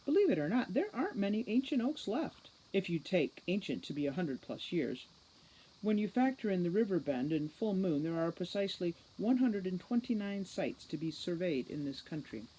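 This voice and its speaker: A woman's voice: lowish voice, big pitch swings, normal speed, mellow, breathy edge, low-quality recording. Woman reading as a precise, condescending boy mastermind. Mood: brisk, commanding. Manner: formal, lecturing, precise diction.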